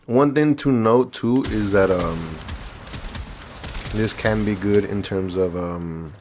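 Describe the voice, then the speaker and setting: Nasal, low-pitched man, casual gaming commentary. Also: takes it slowly, background noise. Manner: informal, rambling, thinking aloud. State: congested from recovering from illness. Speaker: gaming video creator and host. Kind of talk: casual gameplay showcase commentary.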